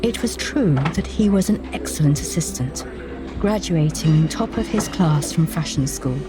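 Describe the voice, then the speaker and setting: Low-voiced woman narrating fiction over music. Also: expressive, moderate pace. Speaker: audiobook narrator.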